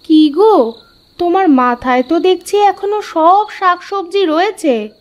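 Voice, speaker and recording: Woman voicing a high-pitched nagging village wife. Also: expressive, a little slow. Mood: scolding. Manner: nagging, exclaiming. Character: scolding village wife of a vegetable seller.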